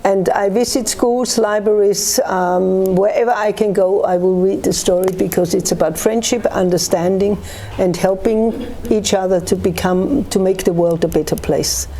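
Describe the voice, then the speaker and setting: Mellow Australian-raised woman, earnest. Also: medium pitch, steady tone, normal speed, hardly any pauses, slight background noise. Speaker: guest author. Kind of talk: conversational interview answers.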